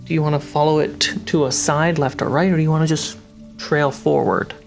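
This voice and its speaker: A game master narrating a tabletop fantasy game in halting, descriptive phrases. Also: mid-range voice, steady tone, gravelly. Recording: music and rain sounds behind. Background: music, rain effects.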